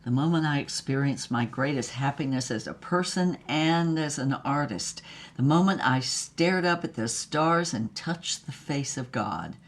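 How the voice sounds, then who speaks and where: Low, wry fading screen goddess. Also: female voice, animated, unhurried, exaggerated voice. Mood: rapturous. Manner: dramatic, dry, sardonic storytelling. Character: jaded fifties B-movie screen goddess, wry, world-weary memoirist. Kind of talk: audio drama first-person narration.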